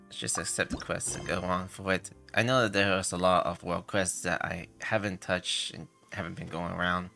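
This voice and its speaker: A man with a lowish, gravelly voice and a bright edge, giving casual game stream commentary. He speaks haltingly and hesitantly, with faint music behind him. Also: fairly even intonation. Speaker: game livestreamer.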